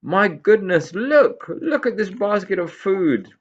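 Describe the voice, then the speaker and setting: Man doing a touchy little pixie boy for a storybook, pitched up, creaky, very emphatic. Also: very animated, slow pace, muffled recording, full character voice. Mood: joyful. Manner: exclamatory, emphatic. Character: indignant, easily delighted young male pixie called Tigger.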